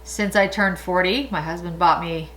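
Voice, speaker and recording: A woman's voice: fairly low pitch, steady tone, moderate pace, a bit bright, slight background noise. A woman in her late forties chatting casually through a makeup tutorial, rambling and laughing often. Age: 40s. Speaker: beauty vlogger.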